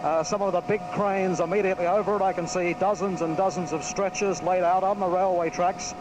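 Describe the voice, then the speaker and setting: An Australian man gives a live radio news report in a high-pitched voice. The field link is noisy, with a clear engine rumble. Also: fairly even intonation, brisk.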